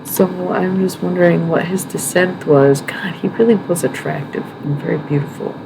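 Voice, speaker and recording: A woman hosting a livestream, rambling and thinking aloud, with many pauses and self-corrections. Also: lowish voice, fairly even intonation, vocal fry, background noise. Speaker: livestream host. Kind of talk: musing livestream chat.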